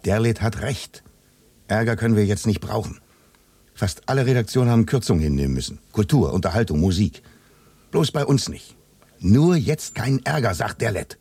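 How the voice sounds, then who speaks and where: A husky, low male voice, as a cautious radio editor. He sounds wary and grumbling, reluctant in a plain, naturalistic radio-play manner. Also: big pitch swings, normal speed, a little mellow, dry recording, heavily acted. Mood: anxious, reluctant. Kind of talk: naturalistic German radio drama acting.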